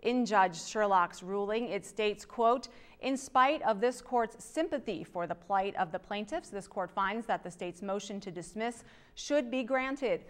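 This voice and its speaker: A woman's voice: medium pitch, expressive, measured pace. A middle-aged woman reading scripted television news as an anchor in a studio.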